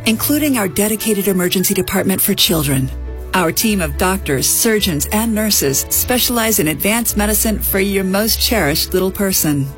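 A woman reads a healthcare commercial in a gentle, reassuring tone. Soft music sits faintly behind her. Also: medium pitch. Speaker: ad voice-over. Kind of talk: commercial narration.

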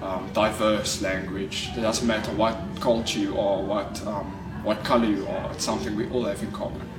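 A man's voice: fairly low pitch, little pitch movement, normal speed, music in the background. A man with a South African accent giving hesitant vox pop remarks. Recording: echoey, from far off.

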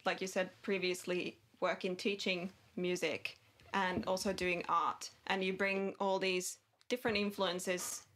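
A woman's voice: on the low side, level tone, measured pace, a bit bright. A woman hosting a radio interview, putting prepared questions to her guests.

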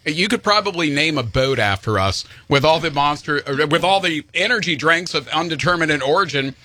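A man's voice: on the high side, animated, fairly quick, bright, forceful tone, dry recording. A man hosting a rock radio show, joking and laughing with his co-host in the studio. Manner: joking, laughing, stumbling over words. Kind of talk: morning radio banter.

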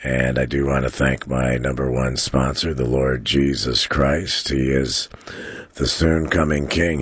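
A man hosting a live call-in radio show from a studio, trading dad jokes and talking faith and prophecy in a relaxed, jokey way. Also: rumbling low, husky, no echo. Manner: jokey, chuckles at his own dad jokes. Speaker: radio talk show host. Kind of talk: casual radio banter and sermon-like talk.

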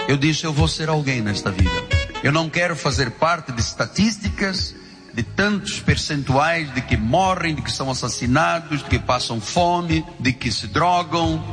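A man's voice: on the high side, expressive, normal speed, music in the background. A man giving an earnest, dramatic personal testimony. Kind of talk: testimony clip.

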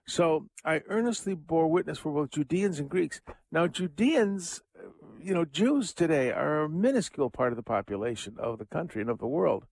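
A man's voice: a little high, expressive, normal speed, creaky, darkish tone, no room sound, poor audio. An older man hosting a radio show, giving a casual, rambling commentary on scripture with chuckling asides and many fillers. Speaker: radio host.

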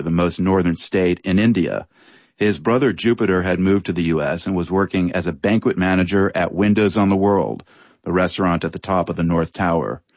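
A man presenting a news feature in scripted, measured narration. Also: sits low, dry recording.

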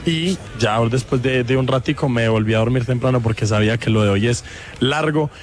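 A man's voice: mid-range voice, fairly quick. A man with a Colombian accent chatting casually and doing live interviews as a roving reporter. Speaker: roving radio show reporter.

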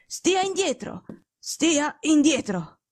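A woman voicing a gravely ill, imperious male detective in an audiobook, weak, hoarse and halting. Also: a little high, lively intonation, slight background noise. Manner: curt, commanding, halting.

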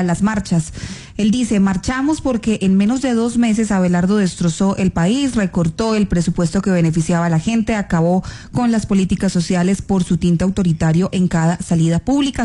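A woman reading listener comments on air in a brisk, clear manner. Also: medium pitch, warm tone.

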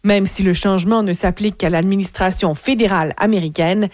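A woman's voice: medium pitch, fairly even intonation, a little fast, darkish tone, dry recording, clean studio sound. A woman reading a scripted news report for radio.

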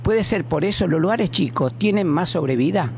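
Halting man, noisy recording. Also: sits high, animated, darkish tone. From born in a small mountain village in Italy. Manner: halting, searching for words. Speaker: doctor putting questions to a guest. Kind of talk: conversational questioning. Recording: clearly noisy background.

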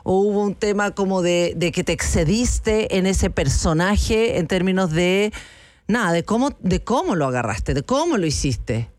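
A woman's voice: fairly low pitch, animated, normal speed, darkish tone, no echo. Woman hosting an interview. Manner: probing, conversational. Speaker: host.

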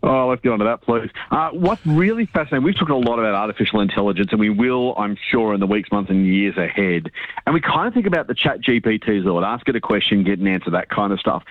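A man's voice: fairly low pitch, lively intonation, quick pace. A man giving a chatty, self-deprecating finance update on radio. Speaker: finance commentator. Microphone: phone line into studio. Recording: phone line.

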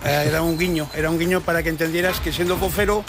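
A man's voice: a little high, fairly even intonation, fast, creaky, music in the background. A man speaking in a playful, jokey way in a street interview.

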